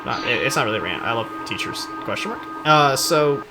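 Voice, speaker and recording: A man's voice: medium pitch, expressive, brisk, music in the background, rough recording. A man hosting casual comedic commentary on game cutscenes, joking and rambling in slangy speech. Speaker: video host.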